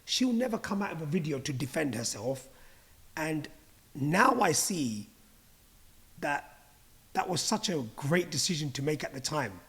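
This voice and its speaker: Lively husky-voiced male podcast host. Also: on the high side, measured pace, long pauses. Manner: animated, admiring, probing questions. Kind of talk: casual interview chat.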